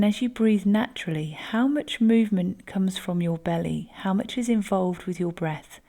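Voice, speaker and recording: Woman, calm guided breathing instructor, soft-spoken, mellow, lightly gravelly tone. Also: medium pitch.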